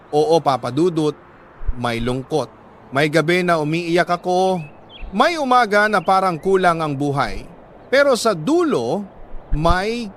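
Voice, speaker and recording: A Filipino man reads a listener's letter as a radio storyteller, narrating in the first person with measured, emotive phrasing and deliberate pauses. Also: on the high side, a bit bright, slight background noise.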